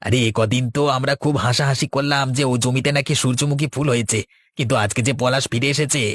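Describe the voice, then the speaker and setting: A man's voice: mid-range voice, fairly even intonation, fairly quick, fairly bright tone, dry recording, natural voice. An actor playing a sly, scheming henchman in a village cartoon, sneering and conspiratorial. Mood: alarmed. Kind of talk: Bengali cartoon dialogue.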